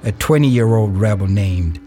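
Low-voiced man narrating over music. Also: measured pace. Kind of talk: scripted audio play narration. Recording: music behind the voice.

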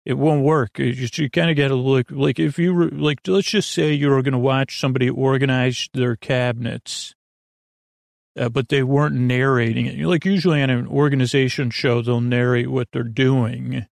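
A man with a creaky, soothing voice, hosting a bedtime podcast in a halting, meandering monologue full of tangents. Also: mid-range voice, fairly even intonation, no room sound, clean studio sound. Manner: lulling, soothing. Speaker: podcast host.

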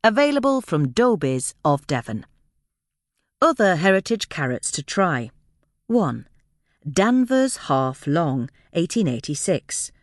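Lively-voiced woman reading a scripted podcast, dry sound. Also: lowish voice, takes it slowly, no echo. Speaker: podcast episode reader. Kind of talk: scripted magazine article narration.

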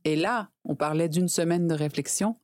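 A woman's voice: sits low, normal speed, darkish tone, dry recording, studio quality. A woman in her forties explaining things conversationally as an interview guest, emphatic and given to acting out little quotes. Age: 40s. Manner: emphatic, illustrates points with mimicked quotes. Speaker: interview guest, reproductive health specialist.

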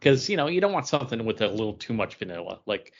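A man's voice: medium pitch, big pitch swings, quick pace, muffled recording. A man co-hosting a podcast in casual chat, rambling and often repeating himself as he goes. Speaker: podcast co-host. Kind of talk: casual comic book chat.

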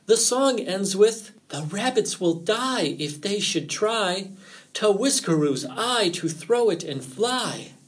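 Sly folktale fox, played by a man: raised, very animated pitch, feigned concern, drawn-out pleading. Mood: warning. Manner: theatrical, drawn-out pleading and warnings. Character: sly male fox feigning worry, mock-pleading, warning.

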